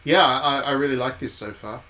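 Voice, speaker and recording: A man's voice: mid-range voice, brisk, pushed and bright. A man hosting a game stream, giving casual live commentary and thinking aloud in conversational asides.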